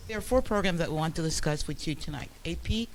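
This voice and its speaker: A man giving a formal presentation to a school board at a public meeting, pausing often with fillers and restarting his sentences. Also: fairly high pitch, lively intonation, heavy background noise. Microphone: room microphone, quiet for listeners.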